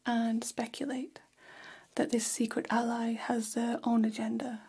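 A woman playing a gossipy, flattering vampire hair stylist in a whispered ASMR role-play, speaking in a soft, breathy, conspiratorial hush. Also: a little high, exaggerated voice. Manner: slow, hushed, conspiratorial, indulgent. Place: small decorated indoor room.

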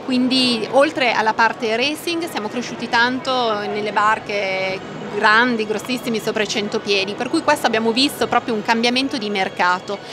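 A woman's voice: a little high, moderate pace, few pauses. A woman, explaining things enthusiastically in a conversational interview as a company executive. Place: open trade-show stand. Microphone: handheld microphone held by interviewer. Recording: busy trade-fair crowd noise. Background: crowd bustle.

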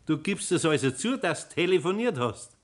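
A man playing a kindly, sly older craftsman in a 1960s radio play, with a Bavarian accent and an unhurried, homely manner. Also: on the high side, very animated. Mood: probing, stern. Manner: unhurried, homely, chuckling, gently admonishing.

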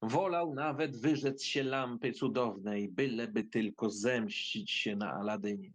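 A man's voice: fairly low pitch, unhurried. A man narrating a fairy tale from a script in a dramatic storytelling style.

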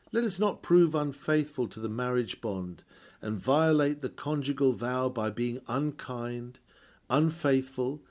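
A man reading a scripted historical sermon aloud in a measured, solemn and formal oratorical manner. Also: on the high side, a little mellow.

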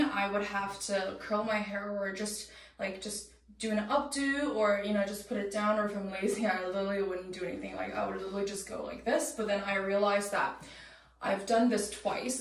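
A woman's voice: mid-range voice, fairly even intonation, moderate pace, distant mic. A young woman whose first language is Cantonese, chatting casually and informally as a vlogger in a small washroom, recorded on a camera a step or two away. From Canada, moved there while growing up. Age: young adult. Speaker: TV host and vlogger. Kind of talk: casual vlog chat. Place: small home washroom, indoors. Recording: echoey small bathroom.

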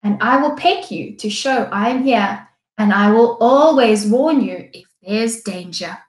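A woman's voice: fairly high pitch, unhurried, close to an everyday voice. A woman voicing a small, plucky female bird in a storybook, confident, teasing and firm. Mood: kindly, firm. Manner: calling out, kindly but firm. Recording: echoey room.

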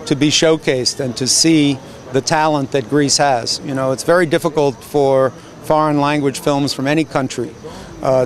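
A man in his sixties, a film studio executive, speaking in a measured, formal way on a red carpet. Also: mid-range voice.

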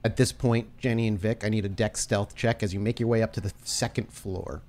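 Low-voiced man narrating a game. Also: steady tone, brisk, a little mellow. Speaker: game master.

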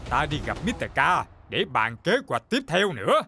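Cartoon villain monster, tyrannical, gloating, drawling, wildly animated, music behind. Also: male voice, fairly high pitch, moderate pace, full character voice. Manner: drawling, commanding, cackling. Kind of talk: animated series voice acting.

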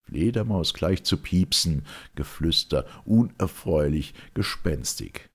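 A man with a gravelly, husky voice reciting classical dramatic verse in a solemn, theatrical, measured manner. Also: a bass voice, expressive. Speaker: reader of classic literature.

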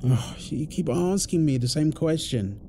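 A man's voice: fairly high pitch, lively intonation, unhurried, creaky, mellow, slight background noise. A man playing a tired, irritable firefighter husband in an intimate roleplay audio drama. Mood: weary, apologetic. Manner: weary sighs, snappy, then apologetic.

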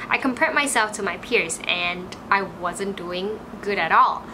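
A woman's voice: medium pitch, unhurried, noisy background. A woman in her twenties with a Malaysian English accent, chatting casually to camera in a small room, full of "like" fillers. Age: 20s. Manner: chatty, frequent "like" fillers, animated. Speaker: vlogger.

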